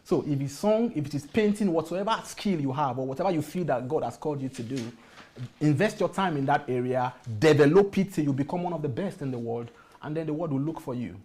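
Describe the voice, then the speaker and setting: A man's voice: on the high side, expressive, fairly quick, darkish tone. A man speaking at a motivational workshop, emphatic, repeating key phrases and putting rhetorical questions to his audience.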